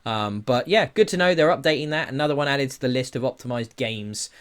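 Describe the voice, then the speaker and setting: A man's voice: mid-range voice, fairly quick, fairly bright tone, clear, resonant tone. British man hosting a casual podcast. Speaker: podcast host. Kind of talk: casual chat about gaming news.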